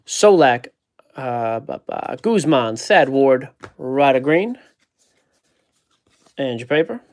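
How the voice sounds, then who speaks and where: A man's voice: mid-range voice, animated, slow. Joking man ripping card packs. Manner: joking, rattles off player names. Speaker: card break host. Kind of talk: casual card-opening chat. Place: indoor card-breaking table.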